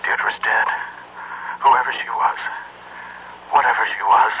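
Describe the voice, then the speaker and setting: An actor playing a despairing middle-aged husband, hushed and broken, on the phone in an old radio drama. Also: male voice, lowish voice, unhurried, slight background noise, close to an everyday voice. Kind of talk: 1970s radio drama acting. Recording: tinny lo-fi old radio broadcast.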